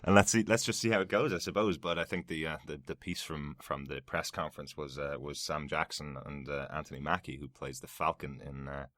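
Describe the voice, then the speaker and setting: A man hosts a casual podcast in a very low, deep voice. He is chatty, laughs easily and restarts his sentences often. The sound is dry and close to the mic. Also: brisk, hardly any pauses, a bit bright, no echo. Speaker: podcast host.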